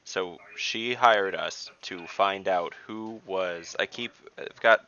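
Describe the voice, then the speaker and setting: A man playing a tabletop game over a video call, musing haltingly in casual discussion. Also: low voice, bright, forceful tone, clear, resonant tone. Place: indoor room, video call. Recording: dull video call audio.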